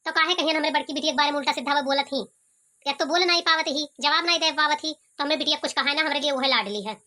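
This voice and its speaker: A woman's voice: high-pitched, quick pace, bright, forceful tone. A woman voicing a protective, anguished village mother in an Awadhi cartoon, with an Awadhi accent and a plaintive, scolding manner. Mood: indignant, protective. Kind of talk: cartoon comedy voice acting.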